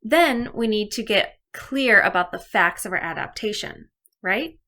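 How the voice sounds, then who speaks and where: Lady teacher doing a kids video lesson, very bright voice, step by step directions. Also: mid-range voice, expressive, a little slow. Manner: clear step-by-step instructing, addressing pupils directly. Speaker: elementary school teacher. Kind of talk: instructional video lesson for children.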